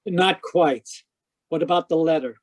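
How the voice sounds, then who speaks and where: Give this male actor's hard-boiled radio detective a slightly high voice and a clipped, wry delivery. He is brisk and matter-of-fact, narrating and questioning like an old-time mystery hero. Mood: firm, dry. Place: home, live video call performance.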